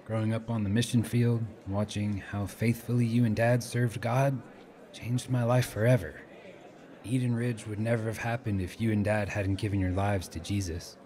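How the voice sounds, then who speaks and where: Actor as mellow, grieving grown son. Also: male voice, lowish voice, fairly even intonation, normal speed, lightly acted. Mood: earnest gratitude. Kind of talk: radio drama acting.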